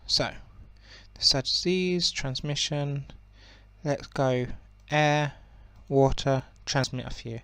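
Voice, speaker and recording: Croaky young man narrating gameplay. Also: medium pitch, slow pace, no room sound. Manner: relaxed and rambling, with many ums and self-corrections. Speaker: gaming channel host. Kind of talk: casual game commentary.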